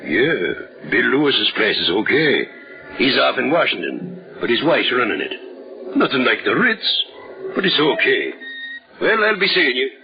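Blustery, animated beat cop. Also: male voice, mid-range voice, unhurried. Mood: chummy. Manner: streetwise slang, blustery. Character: blustering, talkative male beat policeman. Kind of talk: 1940s radio acting. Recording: muffled old-time radio recording, music.

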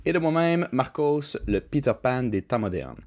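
A man's voice: mid-range voice, sing-song, normal speed, a little mellow. A man with a Québécois French accent hosting a podcast, jokey and chatty, with fillers and restarts. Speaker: podcast host. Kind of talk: casual podcast chat.

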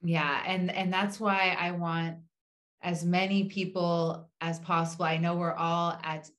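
Woman around 30 moderating a panel, pretty low voice, light stutter. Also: flat delivery, unhurried, fairly bright tone. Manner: person who stutters, with part-word repeats. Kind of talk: casual panel talk.

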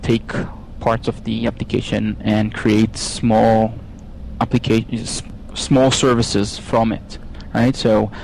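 A man from Israel with an Israeli accent, speaking English as a guest in a technical interview, with frequent pauses, fillers and restarts. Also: fairly low pitch, little pitch movement, vocal fry, a little mellow, slight background noise, rough recording. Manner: hesitant, many fillers and restarts. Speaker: software engineer guest.

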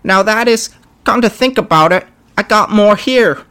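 Tiny scared grot, way high squeaky voice, stammers and whines. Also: male voice, normal speed, pushed and bright, smooth. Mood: wheedling. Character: small cowardly scheming male goblin grot.

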